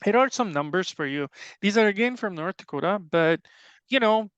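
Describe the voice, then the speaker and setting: A man's voice: high-pitched, animated, moderate pace, a bit bright, clear, resonant tone, no echo, dull audio. A middle-aged man with a Pakistani accent, giving an informal technical presentation in an explanatory, conversational way. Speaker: extension soil health specialist, presenter. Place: online webinar call.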